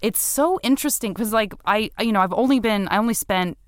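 A woman's voice: mid-range voice, fairly quick, no room sound, clean studio sound. A woman from Arizona hosting a casual chat, rambling and often restarting her sentences, poking fun at herself. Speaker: podcast host.

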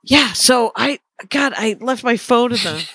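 A woman comedian chatting casually on a podcast, her voice scratchy and tired, with a blunt, deadpan way of talking. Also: a little high, a little slow, breathy edge, no echo. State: hoarse, tired. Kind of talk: casual chat.

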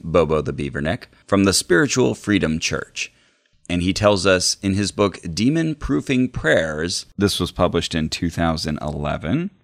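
A man's voice: deep voice, gravelly, no room sound, clean studio sound. A man hosting a podcast, introducing a reading in a presentational style. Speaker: podcast host.

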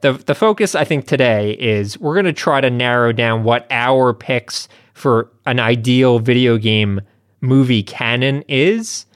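A man's voice: medium pitch, a little slow, no echo, studio quality. A man hosting a podcast in a casual, conversational way with informal asides. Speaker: podcast host.

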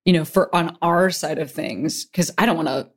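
Woman interview host, rambling, filler-heavy, low-middle pitch. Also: moderate pace, breathy edge. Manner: rambling, hedging, many fillers. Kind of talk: casual interview chat.

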